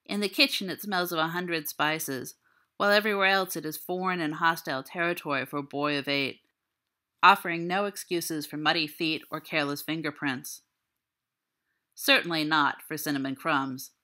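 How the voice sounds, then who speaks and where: Low, very bright-voiced woman narrating fiction. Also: steady tone, normal speed. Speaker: audiobook reader. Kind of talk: scripted literary narration.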